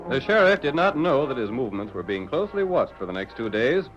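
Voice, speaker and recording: A man's voice: mid-range voice, sing-song, quick pace. A man announcing an old radio drama in a dramatic, declamatory way. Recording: muffled old radio with music.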